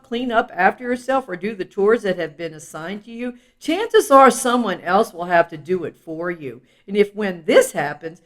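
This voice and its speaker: A woman reading a children's self-help book aloud in an instructive, explanatory way, with casual asides. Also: mid-range voice.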